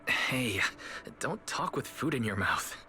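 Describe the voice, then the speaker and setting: A man playing a kind, composed young manager in a game cutscene, speaking in a gentle, measured way. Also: medium pitch, very animated, full character voice. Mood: gentle, reassuring. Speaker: video game voice actor. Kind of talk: animated game cutscene dialogue. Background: background music.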